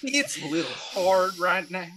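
A man doing a down-on-his-luck Texas farmer, drawling in a put-on Southern accent. The voice wobbles as if always on the verge of tears, with a lively, swooping pitch. A crowd is heard behind him. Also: unhurried. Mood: pleading. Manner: quavering, near tears. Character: broke rural Texan farmer man, weepy and pleading.

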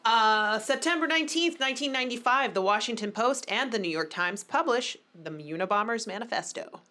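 A woman in her thirties co-hosting a casual chat podcast. She reads out dates with lively emphasis. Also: on the high side, brisk. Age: 30s. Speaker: podcast co-host.

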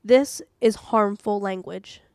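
Calm woman reading a devotional. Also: mid-range voice, takes it slowly, no echo, studio quality. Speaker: devotional podcast host and reader.

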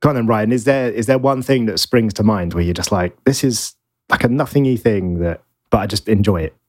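Low, dry-voiced man bantering casually. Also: a little fast, darkish tone, dry recording. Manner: dry, teasing. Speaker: podcast co-host.

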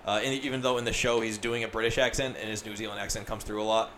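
A man's voice: on the low side, fairly even intonation, racing, a bit bright, a little hiss or hum. A man hosting a podcast in casual chat, rambling, swearing freely, with many fillers. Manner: profane, rambling, filler-heavy. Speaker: podcast host.

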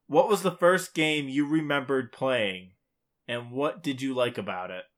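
A man's voice: medium pitch, measured pace, fairly bright tone. A man co-hosting a podcast in casual, playful banter, sometimes reading out quiz questions in a teasing way. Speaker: podcast co-host.

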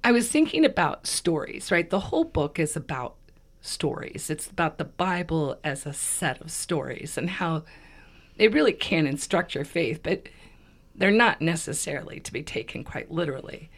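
A woman with a very low, froggy voice, hoarse and raspy from laryngitis. She co-hosts a podcast and talks in a loose, rambling way, restarting her sentences as she thinks aloud. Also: sing-song, normal speed. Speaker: podcast co-host. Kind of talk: casual conversation.

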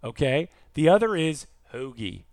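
A man's voice: fairly high pitch, big pitch swings, unhurried, a little mellow, no echo, clean studio sound. A man co-hosting a podcast, reading listener mail and chatting in a theatrical, emphatic, jokey way. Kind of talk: lively casual podcast chat and letter reading.

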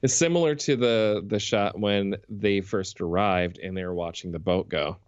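A man co-hosting a casual film podcast, relaxed, reacting with short remarks and crude jokes. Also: on the low side, normal speed, clean tone, no echo, dull audio. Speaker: podcast co-host. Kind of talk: casual film-discussion chat.

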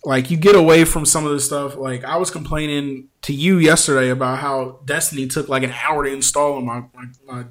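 A man's voice: mid-range voice, steady tone, a little fast, hardly any pauses. A man hosting a podcast, talking casually and informally in colloquial slang. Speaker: podcast host.

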